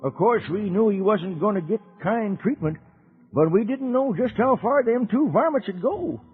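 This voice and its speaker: An American man playing a folksy old cowboy uncle, telling a radio serial to children in a rustic drawl with a husky voice. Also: high-pitched, animated, moderate pace, faint music behind, heavily acted. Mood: folksy, friendly. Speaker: narrator. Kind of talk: 1950s radio serial storytelling. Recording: dull old radio recording.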